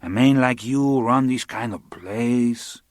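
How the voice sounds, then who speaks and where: A man voicing a sly, smooth-talking man who needles patiently in a soft, unhurried way. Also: medium pitch, no echo, clean studio sound, pitched above the performer's own voice, natural voice. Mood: smug.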